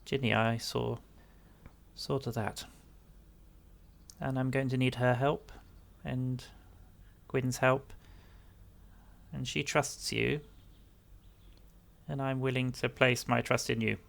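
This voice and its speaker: A man voicing a grave, formal fae guardian of a forest, speaking in a measured, earnest way. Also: mid-range voice, fairly even intonation, gravelly, fairly bright tone. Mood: grave, worried.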